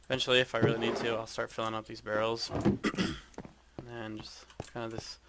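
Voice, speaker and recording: Guy with a flat low voice, lots of ums, showing off his game base, noisy mic. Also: fairly quick. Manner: monotone, hesitant with many ums. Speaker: gaming video creator. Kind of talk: casual game walkthrough.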